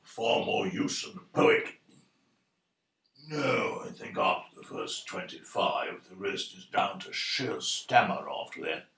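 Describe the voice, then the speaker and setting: An actor playing a gruff, unromantic older English husband with a gravelly voice, dry and blunt, in an audio drama. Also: male voice, deep voice, very animated, slow pace, a bit bright, heavily acted. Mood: dry, stoical. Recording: roomy echo.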